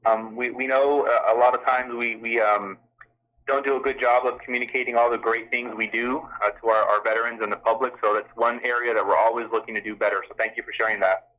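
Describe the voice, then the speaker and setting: A man's voice: medium pitch, little pitch movement, fast talker. A man moderating a live question-and-answer call as an official, speaking in a courteous, formal and somewhat hesitant way. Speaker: call-in town hall moderator and health system official. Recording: dull telephone conference line.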